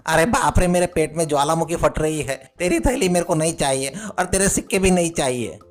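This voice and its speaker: A man's voice: on the high side, a little fast, voice pushed up, natural voice. A man voicing a boastful, bullying street goon with a blustering manner in a comic moral story. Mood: panicked. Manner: swaggering, threatening, blustering.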